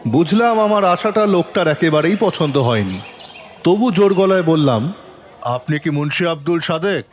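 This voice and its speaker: Man acting a probing police inspector, very animated delivery. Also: on the high side, moderate pace, close to an everyday voice. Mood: suspenseful, measured. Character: composed, probing male police inspector recounting his case. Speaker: narrator and lead actor in an audio story. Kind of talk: dramatic first-person detective story narration. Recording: long reverb, music underneath.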